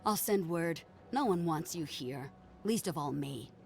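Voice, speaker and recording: Bitter, grieving game character. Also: female voice, deep voice, animated, measured pace, creaky, faint music behind, heavily acted. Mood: bitter grief. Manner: accusing, scornful. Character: grieving, bitter woman condemning an envoy over deaths. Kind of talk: dramatic video game acting.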